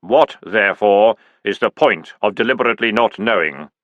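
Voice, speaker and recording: Man doing a slow deep deadpan grim reaper, dry and unhurried. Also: no room sound, dull audio, natural voice. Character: Death, a calm, dry-witted skeletal figure.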